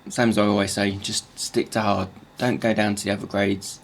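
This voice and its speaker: Man, croaky morning voice, halting repeated words, casual tutorial talk, faint workshop hum behind. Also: on the low side, little pitch movement.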